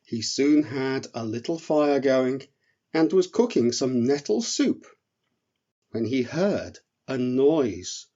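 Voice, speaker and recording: Guy reading a bedtime story for kids, unhurried, really varied pitch, slightly lo-fi. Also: sing-song, warm tone. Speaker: storyteller, author reading own work. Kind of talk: children's storybook narration.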